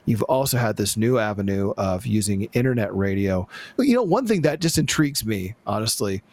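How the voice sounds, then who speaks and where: A man's voice: on the low side, expressive, a little fast, a little mellow, dry recording. A man hosting a radio show, interviewing guests in a conversational way. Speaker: radio host.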